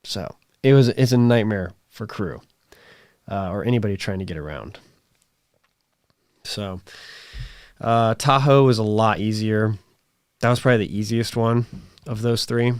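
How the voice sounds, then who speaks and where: A man in his thirties hosting a live stream, chatting casually and reading viewer comments, his voice strained and raspy, talking in rambles full of fillers. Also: fairly low pitch, a little slow, pauses often, no echo, clean studio sound. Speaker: livestream host.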